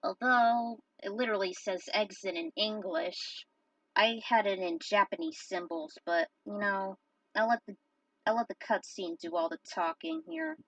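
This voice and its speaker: A woman's voice: mid-range voice, animated, measured pace, vocal fry, no room sound, distant mic, muffled recording. A woman reading story narration, with casual, grumbling asides. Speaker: narrator reading own fan fiction over game cutscenes.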